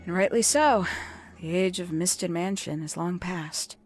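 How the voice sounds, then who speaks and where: A woman voicing a composed, calculating young woman in a video game, speaking in a measured, formal, theatrical way as she confesses. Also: on the low side, faint music behind, natural voice. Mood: wistful, bitter. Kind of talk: dramatic scripted confession monologue.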